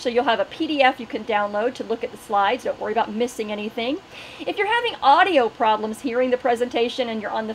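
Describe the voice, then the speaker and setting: A middle-aged woman with a high voice hosts an online webinar, working through welcomes and housekeeping announcements. There is faint noise in the recording. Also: poor audio. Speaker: webinar host.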